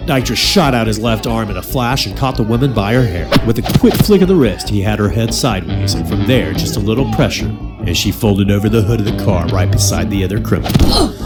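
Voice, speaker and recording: A man's voice: fairly low pitch, animated, fairly quick. A man narrating a scripted comedy radio drama in a dramatic storytelling style. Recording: loud music bed under the voice.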